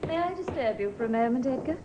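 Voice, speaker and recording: An actress playing a persuasive, solicitous wife in a 1950s radio drama. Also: female voice, on the high side, lively intonation, fast, natural voice. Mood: anxious, coaxing. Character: persuasive, solicitous wife of an ailing older man. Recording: muffled, hissy old radio recording.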